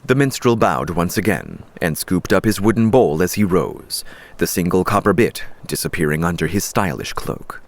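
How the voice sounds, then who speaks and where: A man narrating a fiction audiobook in a measured, dramatic storytelling style. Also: low-pitched, lively intonation, gravelly.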